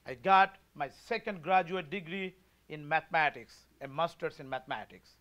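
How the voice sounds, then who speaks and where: A man's voice: on the high side, normal speed, fairly bright tone, highs cut off. A man in his forties from Bangladesh with a Bangladeshi accent, giving an emphatic, rousing campaign speech at a political forum. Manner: emphatic, rousing, leads call-and-response. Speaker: party chair candidate.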